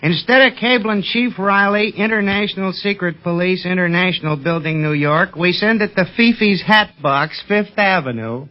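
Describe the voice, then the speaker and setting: An actor playing a blustering, boastful comic sidekick agent who repeats himself, in an old-time radio serial. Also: male voice, high-pitched, normal speed, few pauses, natural voice. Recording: muffled vintage radio recording.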